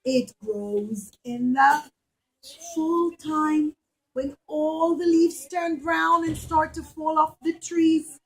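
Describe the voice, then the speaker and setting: A woman with a high voice tells a story to small children. She takes it slowly, repeating simple phrases like a teacher so every idea lands. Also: rough recording. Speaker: puppet show storyteller for children.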